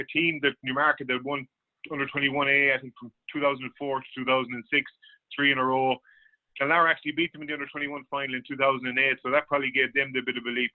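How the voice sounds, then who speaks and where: Fast-talking Irish man on phone line. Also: medium pitch. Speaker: sports journalist, guest pundit. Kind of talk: sports discussion, match recap. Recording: thin phone-line radio sound.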